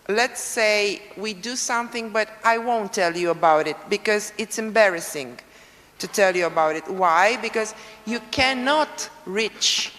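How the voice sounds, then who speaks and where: A woman's voice: medium pitch, fairly bright tone. A woman speaking English with a Romanian accent, answering frankly as a conference panelist. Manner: frank, unhurried, self-assured. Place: large conference hall. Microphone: table microphone, at times dead. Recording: reverberant hall, voice from a distance.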